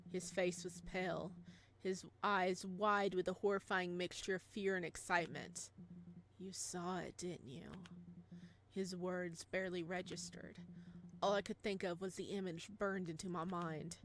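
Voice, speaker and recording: A woman's voice: fairly low pitch, normal speed, a little hiss or hum. A woman reading a visual novel game aloud, with dramatic, theatrical narration and playful reactions. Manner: expressive, theatrical.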